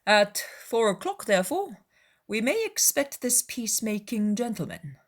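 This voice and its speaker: A woman voicing a wry middle-aged gentleman father in an audiobook dramatization, measured and dryly ironic. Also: fairly high pitch, big pitch swings, voice pushed up. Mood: mock approval.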